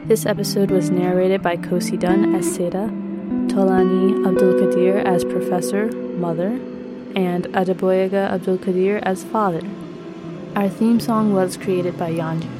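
A woman's voice: medium pitch, little pitch movement, normal speed. A woman podcast creator reading scripted end credits. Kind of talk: scripted narration. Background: loud plucked guitar theme music.

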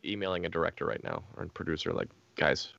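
A man's voice: deep voice, fast talker, vocal fry, fairly bright tone, no echo, dull audio. A man co-hosting a podcast, joking and riffing on ideas in casual chat. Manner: jokey, riffs on ideas. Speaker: podcast co-host.

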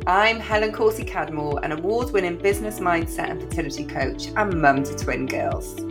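A woman's voice: lowish voice, normal speed, over music. A woman with a Welsh Cardiff accent, co-hosting a podcast and telling stories in a chatty way with lots of fillers. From Wales. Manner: chatty, lively, laughs often, many fillers. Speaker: podcast co-host. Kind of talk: casual storytelling chat.

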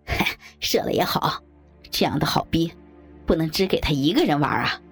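A crude old man, acted out by a woman narrator, leering and jeering in an audiobook. The voice drops low and turns husky and gravelly, with music underneath. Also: expressive, normal speed, exaggerated voice. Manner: coarse, jeering banter. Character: crude, leering old men at a rowdy party.

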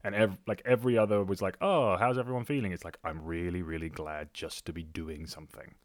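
A man's voice: low voice, steady tone, a little fast, no room sound, clean studio sound. A man co-hosting a podcast in casual chat, with an informal, rambling way of talking. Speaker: podcast co-host.

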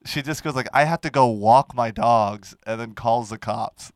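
A man, co-hosting a casual comedy podcast and telling stories in an animated way, close to a microphone. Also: mid-range voice, no room sound. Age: 20s. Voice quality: nasal. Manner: animated storytelling, quick to laugh. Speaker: podcast co-host.

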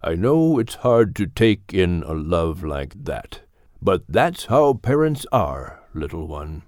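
A man voicing a wise, gentle older male animal mentor in an audiobook, speaking in a measured, firm but kindly way. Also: deep voice, big pitch swings, dry recording, clean studio sound, pitched below the performer's own voice, heavily acted. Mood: tender, counseling. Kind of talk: audiobook character voice.

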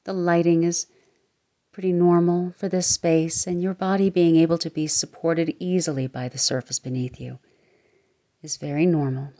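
Woman, meditation guide, low voice, mellow tone, slow soothing delivery, soft near-whispers, slightly dull recording. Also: steady tone.

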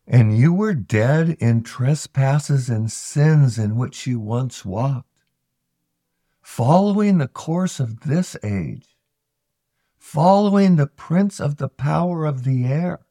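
A man reads scripture aloud at a slow, deliberate pace. His voice has a gravelly creak to it, and he pauses often between phrases in a solemn delivery. The sound is close and dry. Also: mid-range voice, expressive, warm tone, no echo. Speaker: scripture reader.

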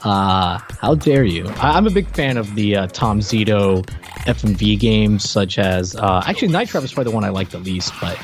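A middle-aged man hosting a podcast, chatting informally and jokingly about retro games in a halting way. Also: lowish voice, expressive, soft background music. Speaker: podcast host. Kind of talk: casual retro game review chat.

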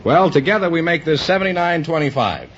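A man's voice: fairly high pitch, lively intonation, brisk, a bit bright. An American man presenting rules and scores as announcer on an old-time radio quiz. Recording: dull, very noisy vintage radio, crowd behind.

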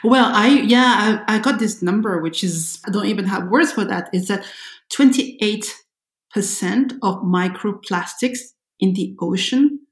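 A woman's voice: mid-range voice, unhurried, echoey room. A woman from France talking casually as a podcast co-host. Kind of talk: casual conversation.

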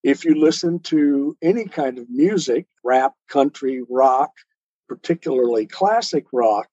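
A man with a husky voice speaking as an author guest in a reflective, explanatory interview style. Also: mid-range voice, animated, a little slow, warm tone, no room sound. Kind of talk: reflective podcast interview talk. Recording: dull, muffled lo-fi audio.

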